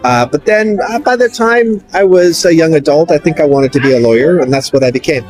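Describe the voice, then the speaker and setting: Older man, relaxed and chatty. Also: fairly high pitch, fairly quick, soft background music. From Canada. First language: English. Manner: friendly, relaxed, repeats words when starting. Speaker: interviewee, lawyer. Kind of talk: casual street interview. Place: outdoors on a city street.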